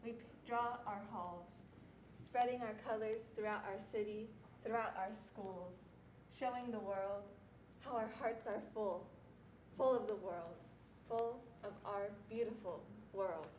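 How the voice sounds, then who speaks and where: A woman's voice: mid-range voice, a little slow, darkish tone, close to an everyday voice, rough recording. A young woman, a student narrator reciting scripted readers theatre lines. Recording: faint background noise.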